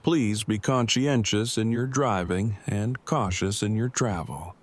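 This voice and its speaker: A man's voice: fairly low pitch, unhurried. A man playing a pedantic, earnest survivor in an audio drama, speaking in stiff, precise, formal phrases. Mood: formal, fussy.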